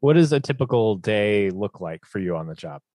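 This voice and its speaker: A man hosting a science podcast, reading a scripted intro and asking interview questions clearly. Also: lowish voice, expressive, moderate pace, warm tone, no echo, studio quality. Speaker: podcast host.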